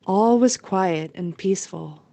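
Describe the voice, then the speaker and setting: Dry close recording, woman, soft dark timbre, storybook-style narrator, scripted audio drama. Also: medium pitch, a little slow, gravelly, no echo.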